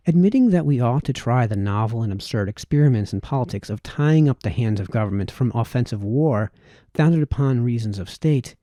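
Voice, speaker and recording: Man reading aloud in a very mellow voice. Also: mid-range voice, no room sound, studio quality. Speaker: audiobook reader. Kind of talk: reading aloud formal historical political essays.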